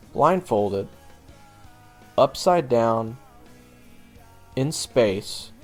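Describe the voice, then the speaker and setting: A man playing a seasoned monster hunter and blunt mentor to a rookie in an audio roleplay, speaking conversationally with halting, drawn-out pauses. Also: mid-range voice, a little mellow. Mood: sardonic. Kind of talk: audio roleplay acting addressed to the listener.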